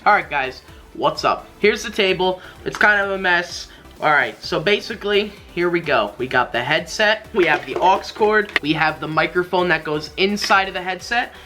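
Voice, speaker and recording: Bright-voiced young man, casual tutorial host. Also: high-pitched. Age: young adult. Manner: informal, rambling, slangy. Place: small bedroom indoors.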